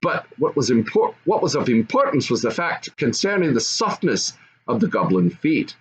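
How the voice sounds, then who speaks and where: A man's voice: mid-range voice, sing-song, normal speed, poor audio. An older man reading a children's storybook aloud as narrator. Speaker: storybook reader.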